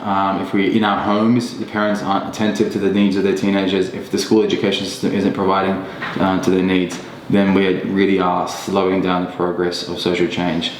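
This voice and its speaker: A man in a relaxed podcast conversation, talking in a thoughtful way with many ums and restarts. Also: fairly quick, hardly any pauses. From Australia. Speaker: podcast guest. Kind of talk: casual reflective conversation. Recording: echoey room with faint rumble.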